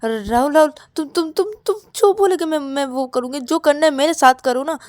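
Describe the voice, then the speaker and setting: Woman doing desperate clingy husband in an audio story, high stammery tearful voice, super dry close sound. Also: brisk, mellow, dry recording, lightly acted. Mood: desperate begging. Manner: stammering, repeating words.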